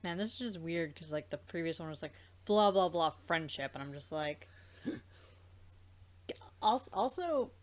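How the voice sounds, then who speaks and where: A woman hosting a let's play, chatting casually in a rambling, halting way and circling back mid-thought. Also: fairly low pitch, lively intonation. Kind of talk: casual gaming chat.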